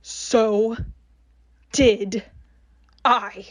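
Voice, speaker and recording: A woman's voice: fairly high pitch, steady tone, drawn-out words, no echo, clean studio sound. A woman playing the vengeful ghost of a young woman in a horror radio drama, accusing and menacing. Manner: accusing, menacing, hushed at times.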